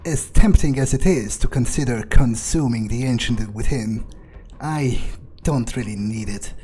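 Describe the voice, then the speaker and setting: Guy doing a cocky vampire in a game, cold and sure of himself, game music going loud behind. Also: medium pitch, measured pace, natural voice. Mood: boastful. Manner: boastful, cold and self-assured. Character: arrogant, power-hungry male vampire. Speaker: roleplaying game player. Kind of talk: in-character roleplay over gameplay.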